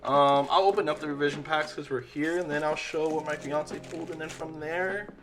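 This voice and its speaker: A man's voice: fairly high pitch, fairly even intonation, brisk, music in the background. A young man who has a stutter and leans on um and uh, chatting casually while he opens trading card packs. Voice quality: has a stutter, uses um and uh as fillers. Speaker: card-opening YouTuber.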